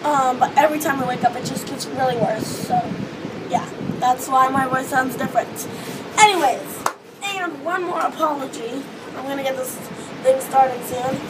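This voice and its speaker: A hoarse teenage girl rambles through a casual vlog, her voice high and strained from losing it. She talks close to a phone on a seaside balcony while ocean waves and wind wash clearly behind her. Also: normal speed. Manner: chatty, rambling with many fillers. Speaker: vlogger. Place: outdoor hotel balcony above a beach. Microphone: phone or webcam close in front. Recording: wind and wave noise outdoors. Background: ocean waves and beach noise.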